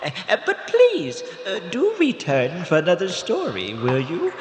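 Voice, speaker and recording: An actor playing a courteous, macabre old morgue attendant telling stories in a drawn-out, gleeful way, as a radio horror host. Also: male voice, high-pitched, wildly swooping pitch, exaggerated voice. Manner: courtly, drawn-out, chuckling delivery. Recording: echoey hall with long reverb.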